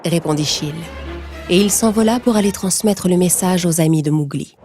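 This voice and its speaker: A woman narrating a scripted children's story in a measured storytelling manner. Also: fairly low pitch, over music.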